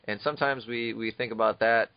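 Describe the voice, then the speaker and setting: A male podcast host chats casually with his co-host, filling his sentences with fillers and amused asides. His voice is fairly low. The sound is dry and dull, as if from a lo-fi setup. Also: fairly even intonation, a little fast, clear, resonant tone, no room sound.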